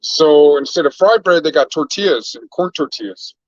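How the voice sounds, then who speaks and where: A man from Canada chats loosely on a livestream, drifting between topics and calling out viewers by name. His voice sits a little high. The sound is close, dry and muffled, like a cheap stream mic. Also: lively intonation, moderate pace, dry recording. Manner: laid-back, rambling, shouts out viewers by name. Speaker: livestream host. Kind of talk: casual livestream chat.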